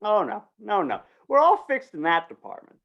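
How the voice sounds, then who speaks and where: An actor playing a brisk, insistent small-town man organizing a fair in a radio western, folksy and persuasive. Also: medium pitch, very animated, creaky, muffled recording. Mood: coaxing. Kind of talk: old-time radio western acting.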